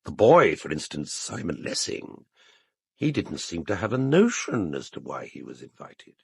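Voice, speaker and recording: An actor playing a musing, deliberate man in a radio play. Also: deep voice, huge pitch swings, gravelly, breathy edge, no echo, studio quality, exaggerated voice.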